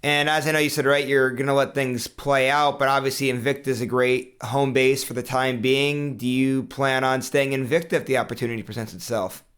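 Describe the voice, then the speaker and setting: A man's voice: medium pitch, flat delivery, fairly quick, few pauses, fairly bright tone, smooth. A man hosting an interview, asking long, rambling questions full of fillers. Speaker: interview host.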